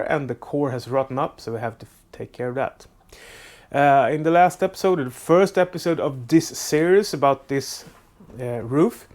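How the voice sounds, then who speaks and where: Slow, halting Swedish-accented man. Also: mid-range voice. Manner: halting, many filler uhs and restarts. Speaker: boat restoration vlogger. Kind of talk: casual how-to narration. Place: makeshift boat workshop.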